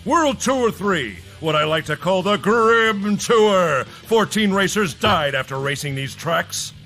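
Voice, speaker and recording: A man's voice: sits high, animated, slow, bright, forceful tone, soft background music, exaggerated voice. An actor playing a brash, mocking male race host in a comic video game, bombastic and taunting. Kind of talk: comic announcing.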